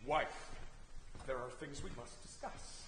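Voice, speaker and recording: A man's voice: medium pitch, takes it slowly, a bit bright, slight background noise. A man playing a tormented, stern warrior king and father in a stage tragedy, declaiming in anguish. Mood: guarded. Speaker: stage actor. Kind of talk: theatrical one-act Greek tragedy. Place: theatre stage indoors. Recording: echoey theatre hall, long reverb.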